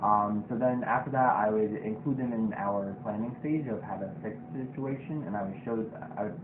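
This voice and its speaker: A man's voice: lowish voice, a little fast. A young man playing a job candidate, talkative and leaning on "you know" as he answers. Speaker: job candidate in a role-play. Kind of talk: casual role-play. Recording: tinny, noisy, echoey.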